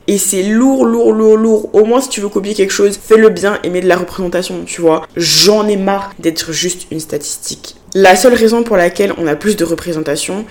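A young woman from Ivory Coast, speaking without a regional accent and hosting a solo podcast, talking in an animated way and often dropping English phrases into her speech. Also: mid-range voice. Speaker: podcast host. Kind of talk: casual solo podcast monologue.

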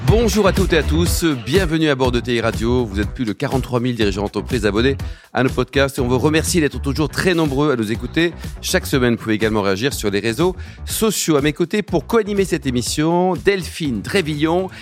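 A man's voice: medium pitch, expressive, fast, soft background music. A man hosting a business radio show who introduces guests and questions them in a jovial, teasing way.

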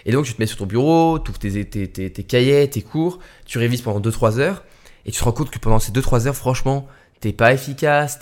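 A young man hosting his student podcast, talking casually and tiredly late at night, with lots of hesitations and restarts. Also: medium pitch. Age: young adult. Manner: hesitant, many fillers and restarts. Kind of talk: casual solo podcast talk.

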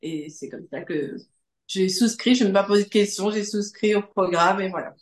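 A woman's voice: mid-range voice, brisk. A woman in her forties giving a conversational personal testimony as a podcast guest, repeating phrases for emphasis. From Guadeloupe. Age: 40s. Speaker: podcast guest, coaching client. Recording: roomy, echoing sound.

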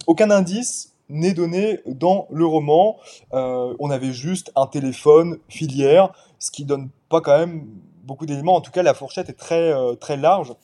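A man in his twenties from the Paris suburbs, a novelist talking through his book in a casual interview, thoughtful and pausing often as he explains. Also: fairly high pitch, warm tone. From Paris suburbs, France. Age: 20s. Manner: thoughtful, explains at length with frequent pauses. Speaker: novelist guest.

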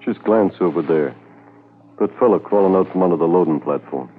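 Rail-yard buddy played by a man, somewhat low voice, casual and clipped, phone-like old radio sound. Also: brisk, a little hiss or hum, lightly acted. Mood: casual, watchful. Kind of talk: 1950s radio drama acting. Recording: tinny 1950s radio recording.

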